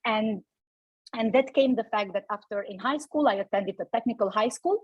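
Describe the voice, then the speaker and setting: A woman's voice: a little high, normal speed, slightly rough, dry recording, highs cut off. A young woman from Albania, giving a personal talk at a company event, with many fillers and restarts. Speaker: invited speaker.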